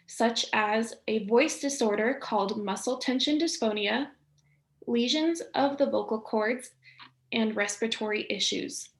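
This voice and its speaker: Young female student presenter, slightly high voice, measured scripted read, echoey room. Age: young adult. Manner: measured, informative reading. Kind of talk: scripted educational narration. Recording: roomy echo.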